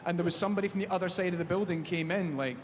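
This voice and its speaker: A fairly high-voiced man with a Glasgow accent hosts a live panel chat, telling stories with jokey energy. His voice rings through a large echoey hall, with a faint hum of noise underneath. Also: racing. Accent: Glaswegian Scottish. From Scotland. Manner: jokey, animated storytelling. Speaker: panel host. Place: large theatre stage.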